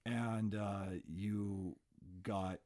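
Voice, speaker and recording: A man's voice: on the low side, fairly even intonation, drawn-out words, a little mellow. A man hosting a casual interview, chatty and friendly, asking open questions. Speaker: podcast host.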